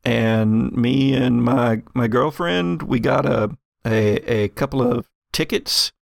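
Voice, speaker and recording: A man plays an affable Texan guy in his forties with fry in his voice. He speaks haltingly, stammering and rambling as he improvises in an audio drama, recorded close and dry. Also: mid-range voice, no room sound. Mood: easygoing. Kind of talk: improvised role-play dialogue.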